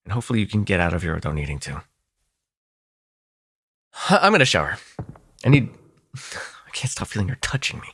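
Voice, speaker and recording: Man acting a low-voiced, protective vampire. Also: big pitch swings, fairly bright tone, breathy edge, exaggerated voice. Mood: shaken, pleading. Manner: intimate, halting, swearing, sighing. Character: frantic, protective male vampire, foul-mouthed and sardonic. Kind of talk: ASMR audio roleplay acting.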